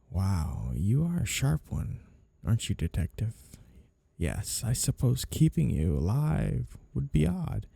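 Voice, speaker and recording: A man playing a flirty, two-faced mafia boss in an audio roleplay, menacing and obsessively in love, smooth-talking and teasingly polite as he threatens. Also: lowish voice, dark tone, no room sound, exaggerated voice. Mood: silky, teasing. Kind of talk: audio roleplay ASMR acting.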